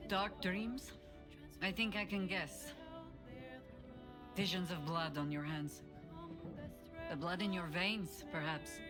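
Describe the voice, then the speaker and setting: Low-voiced, solemn woman warrior. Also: slow, pauses often, over music. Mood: grave, probing. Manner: grave, probing. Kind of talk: video game acting.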